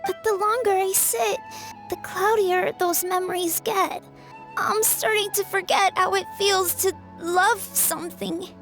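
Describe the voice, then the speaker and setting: Sorrowful young girl for a game, tiny high voice, slow halting plaintive delivery, soft music underneath. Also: steady tone. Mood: sad, subdued. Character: frail, sorrowful young girl who uses a wheelchair. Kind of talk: video game voice acting.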